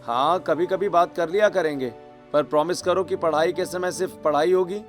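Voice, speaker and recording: A man voicing a responsible, gently reproving young husband in a cartoon story. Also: on the high side, moderate pace, soft background music, close to an everyday voice. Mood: reassuring. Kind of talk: Hindi cartoon story dialogue acting.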